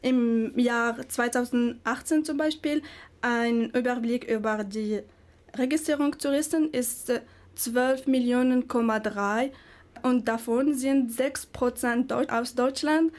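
Give me a woman from Morocco, answering in slow, searching, speech. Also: on the high side, a little mellow. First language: not German. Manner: halting, searching for words, many hesitations. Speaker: interviewee. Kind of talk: interview answers.

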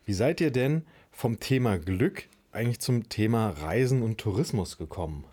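A man's voice: fairly low pitch, expressive, normal speed, warm tone, slightly rough. A man hosting a live school radio show in a studio, talking casually with many fillers. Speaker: radio host.

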